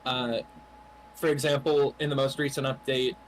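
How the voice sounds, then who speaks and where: A man's voice: mid-range voice, flat delivery, moderate pace, a little hiss or hum, poor audio. Man explaining game development, casual. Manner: thoughtful, explanatory, with frequent "you know". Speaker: game developer answering questions. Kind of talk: casual livestream chat.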